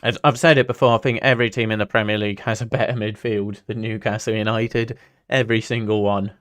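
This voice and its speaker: A man's voice: on the low side, flat delivery, fast talker, fairly bright tone. A man from Singapore hosting a casual football watch-along, rambling chattily between viewer chat, anecdotes and play-by-play. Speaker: football livestream host, Newcastle fan.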